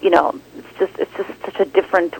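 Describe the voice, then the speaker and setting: A woman film director answering interview questions in an animated, rambling way, full of restarts and "like". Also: on the low side, brisk, slightly rough, low-quality recording. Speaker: documentary director, guest.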